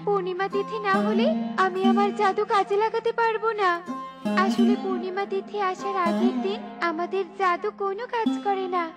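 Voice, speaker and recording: A woman voicing a possessive but soft-hearted garden fairy in a children's cartoon, with a dramatic, expressive delivery. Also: very high voice, moderate pace, loud music behind the voice. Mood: apologetic, helpful.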